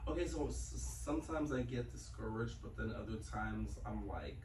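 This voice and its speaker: A man's voice: on the low side, moderate pace, a little mellow, a little hiss or hum. Hesitant thirty-year-old man, echoey room. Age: 30s. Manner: hesitant, many fillers and repeated starts. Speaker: event promoter, guest. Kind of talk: casual interview talk. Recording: echoey room, mic at a distance, faint ambience.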